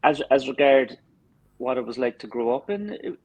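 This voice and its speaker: A man's voice: mid-range voice, lively intonation, normal speed, a little mellow, no echo. An Irish man in his fifties with a Cork accent, a drummer chatting casually as an interview guest, often repeating and restarting his words. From Cork, Ireland. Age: 50s. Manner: hesitant, repeats and restarts words mid-sentence.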